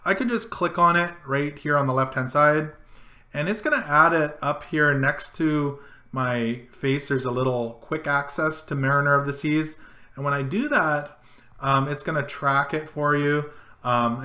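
A man's voice: a little high, pushed and bright, echoey room. A middle-aged man casually talking through a screen-share tutorial, guiding each click and zoom step by step. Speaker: travel vlogger. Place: home room, indoors.

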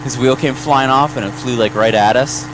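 Wry mid-pitched man cracking jokes. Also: normal speed, background noise. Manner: wry, deadpan jokes with crude humor. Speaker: gameplay co-host. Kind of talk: casual let's-play banter.

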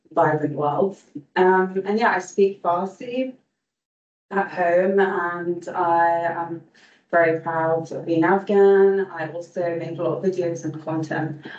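A woman's voice: low voice, darkish tone. A woman from London introducing herself earnestly at a round-table meeting. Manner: earnest, with frequent "um". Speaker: panelist. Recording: distant, echoey, dull lo-fi room sound.

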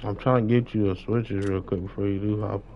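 A man's voice: lowish voice, steady tone, brisk, warm tone. A young man chatting casually on a gaming livestream in slangy, profane street talk. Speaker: game livestreamer. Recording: dull lo-fi mic with noise.

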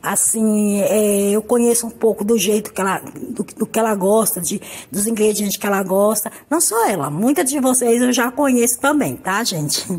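A woman from Brazil hosting a home soap-making tutorial, hoarse from a cold, talking in a chatty, affectionate way. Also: medium pitch, animated, moderate pace, a little mellow. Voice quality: husky. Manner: chatty, affectionate, repeats "tá, gente?". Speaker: soap-making channel host. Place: home kitchen counter. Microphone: phone camera on the table.

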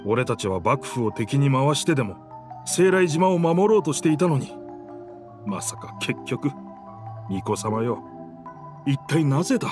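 A man's voice: mid-range voice, sing-song, unhurried, long pauses, over music. A man voicing a game character, the proud, wistful spirit of an old pirate warship, with rugged, old-fashioned, theatrical speech. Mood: bitter, sorrowful.

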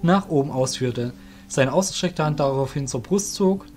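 A man's voice: on the high side, normal speed, darkish tone, faint music behind. A man narrating a fantasy audio drama in a scripted storytelling style.

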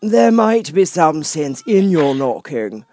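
A man voicing a dim, stubborn frog footman from a storybook, with a hesitant, drawn-out, vague delivery. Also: fairly high pitch, sing-song, a little mellow, dry recording, studio quality, voice pushed up. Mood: vague, unhurried.

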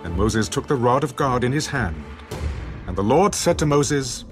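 Male scripture narrator, mid-pitched, lively intonation, dramatic scripted read over a clear score. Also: moderate pace. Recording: music behind the narration.

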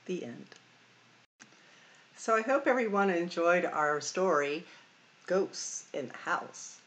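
A middle-aged woman hosting a library storytime, reading picture books aloud in a deliberate, expressive way for young children. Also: fairly low pitch. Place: small room at home or office, indoors.

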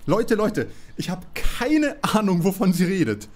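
A man's voice: high-pitched, big pitch swings, measured pace, darkish tone, full character voice. A man playing a bewildered, indignant amateur detective in a parody audio play, with a flustered manner. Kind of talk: parody audio drama acting.

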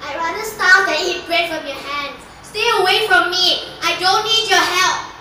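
A woman plays a haughty, bratty youngster in a live stage play. The voice is extremely high and piercingly bright. It rings out in a very echoey space with the mic at a distance. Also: normal speed, a little hiss or hum, full character voice. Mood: scornful. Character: haughty, bratty young person who scorns Esther.

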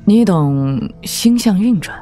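A woman's voice: medium pitch, lively intonation, takes it slowly, dark tone, faint music behind. A woman playing a cold, aloof and imperious woman warrior in a Chinese audio drama. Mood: cold, commanding.

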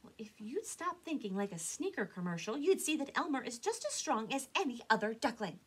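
A woman voicing a gentle, loving mother duck who speaks softly and soothingly. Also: fairly high pitch, very animated, fairly quick, breathy edge, pitched above the performer's own voice, exaggerated voice. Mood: firm. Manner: soft and soothing.

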